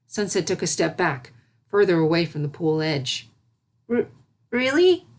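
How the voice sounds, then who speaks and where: A woman's voice: deep voice, moderate pace. A woman narrator reading a scripted piece of fan fiction in storybook style.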